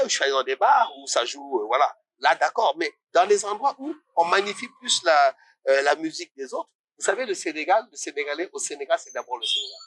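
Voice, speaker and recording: A man's voice: on the high side, a bit bright, husky, dry recording, rough recording. A man from Congo, a musician talking as a guest in a casual interview, passionate and rising to indignant questions.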